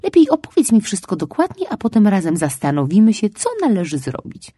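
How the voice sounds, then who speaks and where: A woman's voice: mid-range voice, huge pitch swings, fairly quick, warm tone, slightly rough, no room sound, studio quality, pitched above the performer's own voice. A woman voicing a kind, curious seven-year-old boy who asks earnest questions and reassures a younger child. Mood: reassuring.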